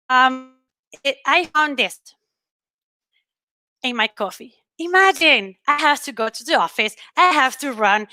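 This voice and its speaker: A woman's voice: sits high, sharp tone, no echo. A woman in her thirties from Venezuela with a Venezuelan Spanish accent, telling personal stories earnestly and expressively as a speaker in an online talk. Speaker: panel speaker. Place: indoor room at home, on a video call.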